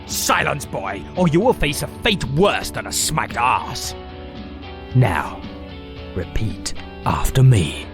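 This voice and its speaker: An actor playing a condescending, commanding villain with an imperious, mocking manner in an audio drama. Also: male voice, mid-range voice, sing-song, takes it slowly, music in the background. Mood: coolly menacing. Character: smooth-talking, condescending male villain in command.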